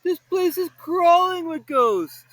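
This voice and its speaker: Man playing a skittish, snack-crazed young slacker for a storybook read: high, yelping, whiny. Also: expressive, unhurried, no room sound. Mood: panicked. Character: panicky hungry young male slacker, jittery.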